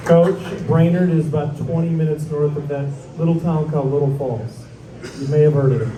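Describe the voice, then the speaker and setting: A man's voice: a little high, steady tone, fairly quick, recorded from a distance, noisy background. A man in his fifties emceeing a team event, introducing players with teasing, upbeat banter. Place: large indoor event hall. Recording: echoey hall from afar with crowd.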